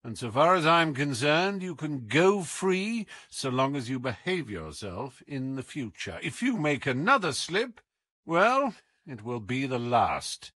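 A man voicing a sharp, commanding detective in an audiobook, coolly taunting, with deliberate, teasing emphasis. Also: mid-range voice, big pitch swings, no room sound, full character voice. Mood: calm, persuasive. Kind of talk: audiobook character acting.

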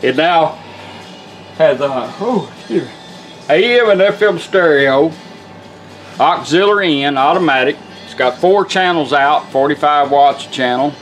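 A man's voice: on the high side, expressive, a little slow, fairly bright tone, low-quality recording. A man giving a casual, folksy, plain-spoken demonstration as a car radio restorer. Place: indoor workshop bench. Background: music from the demonstrated radio.

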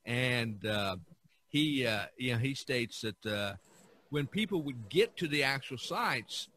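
A man speaking as a podcast co-host in a casual art history discussion, haltingly searching for words. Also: mid-range voice, lively intonation, pushed and bright, a little hiss or hum.

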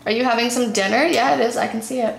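A woman's voice: a little high, steady tone, fast, vocal fry. A young woman hosting a casual family vlog at home, chatting expressively while her hair is colored. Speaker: vlog host. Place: living room at home. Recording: echoey room, faint noise.

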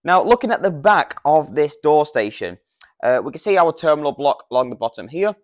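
A man's voice: fairly high pitch, big pitch swings, fairly quick. British man giving a practical tutorial. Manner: practical, explanatory. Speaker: product demonstrator. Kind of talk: informal step-by-step tutorial. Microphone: lavalier lapel microphone.

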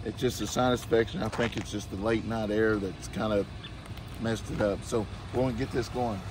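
A man's voice: lowish voice, steady tone. A man in his forties who has lost his voice, vlogging on a walk around a homesteading expo and talking to a phone held at arm's length, with many fillers. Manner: talks to camera while walking, halting with many fillers. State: hoarse, losing his voice. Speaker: homesteading vlogger and event emcee. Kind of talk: casual vlog walk-through. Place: outdoors at a fairground expo. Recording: noisy outdoor phone recording.

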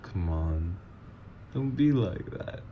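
A man's voice: deep voice, expressive, slow pace, mellow, a little hiss or hum. A man voicing a teasing supervillain ex. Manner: teasing, playful, flirtatious. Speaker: voice actor. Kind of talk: ASMR roleplay audio.

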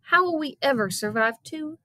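A woman's voice: high-pitched, expressive, moderate pace, sharp tone, no room sound, voice pushed up. Woman as a grumbling, sarcastic storybook boy. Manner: groaning, muttering.

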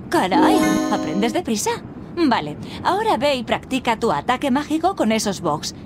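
Lively, high-voiced fairy guide. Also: female voice, normal speed, over loud music. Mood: instructive. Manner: encouraging, instructive. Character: kindly female magical fairy guide, patient and encouraging. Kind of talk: scripted game tutorial dialogue.

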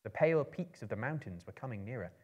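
A man in his thirties giving a measured, theatrical reading of a story as a performer. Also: medium pitch, sing-song, darkish tone. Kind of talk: dramatic literary reading to a live audience. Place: indoor book-lined room, seated in an armchair.

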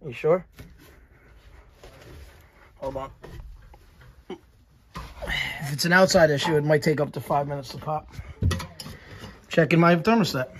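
A man working as an HVAC technician on a service call, talking practically and giving quick instructions to a helper. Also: fairly high pitch, fairly bright tone, a little hiss or hum. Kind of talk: on-the-job service call vlog. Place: house and yard, indoors and outdoors.

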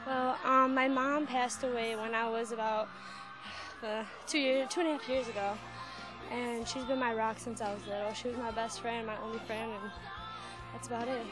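Halting young woman dancer, slightly high voice. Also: steady tone. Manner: halting, with many fillers. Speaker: dancer in talent show. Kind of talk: backstage interview. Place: convention backdrop, handheld mic. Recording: music playing behind.

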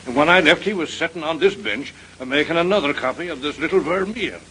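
An actor playing a loyal, folksy old museum guard in a 1930s radio drama. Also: male voice, a little high, fast, pushed and bright. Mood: agitated, alarmed. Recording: noisy vintage radio transcription.

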